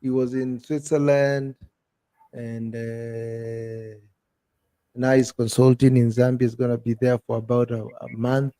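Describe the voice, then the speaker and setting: A man's voice: mid-range voice, a little slow, clean tone, no room sound. A Kenyan man in his thirties chatting on a podcast, telling stories with energy and calling his friend "bro". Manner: animated storyteller, peppers talk with "bro". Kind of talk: casual chat.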